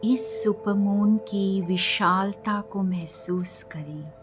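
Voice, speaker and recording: An Indian woman leads a guided meditation at an extremely slow pace. She speaks gently in a medium-pitched voice and leaves long pauses between phrases. Faint music plays behind her.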